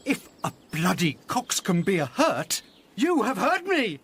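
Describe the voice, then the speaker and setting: An actor playing a foolish, cowardly gentleman in a radio Shakespeare drama, plaintive and aggrieved. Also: high voice, wildly swooping pitch, a little slow, husky, full character voice. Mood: aggrieved whining.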